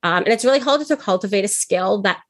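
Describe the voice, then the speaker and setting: A woman's voice: medium pitch, expressive, fast talker, no echo, studio quality. A young woman speaking bluntly and candidly as a guest in a casual interview, swearing freely. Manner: outspoken, blunt, frequent swearing. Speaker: podcast guest, business owner.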